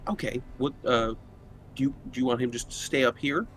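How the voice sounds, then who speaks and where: Regular guy chatting at a tabletop game, medium pitch, faint rumble in the background. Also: steady tone, fairly quick, a bit bright. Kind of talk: casual chat.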